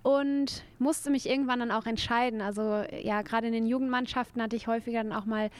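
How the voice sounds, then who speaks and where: A woman in her thirties from Belgium answers interview questions in a relaxed, easygoing way. Her voice is smooth and clear and sits a little high, with fillers and the odd light laugh. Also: a little fast. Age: 30s. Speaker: guest, footballer.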